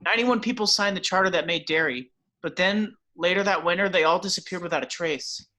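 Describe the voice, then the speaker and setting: A man's voice: high-pitched, a little fast, bright, forceful tone. A man reading as a shy, bookish teenage boy, hesitant and earnest in a table read.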